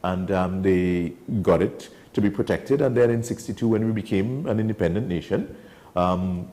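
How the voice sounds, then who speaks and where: A man's voice: deep voice, normal speed, dark tone. A Trinidadian man from Trinidad and Tobago, an enthusiastic wildlife guest telling stories in a casual studio chat. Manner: enthusiastic, storytelling.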